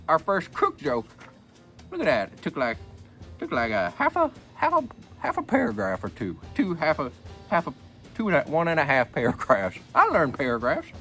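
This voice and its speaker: Folksy old grandpa storyteller, played by a man, very animated pitch swoops, wisecracking memoir read-aloud, faint music behind. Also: full character voice. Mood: jokey, rambling. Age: older. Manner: rambling, repeats himself, wisecracking. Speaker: book reader. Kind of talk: reading a book aloud with rambling comic asides.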